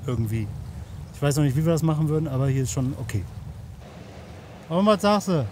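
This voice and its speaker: A man with a fairly high voice chats colloquially for an outdoor camping vlog. He speaks in a joking, informal way to the camera and his companion. The phone recording carries faint outdoor noise. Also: expressive, a little fast, darkish tone. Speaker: camping vlogger. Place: outdoors at a riverside campsite. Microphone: handheld smartphone.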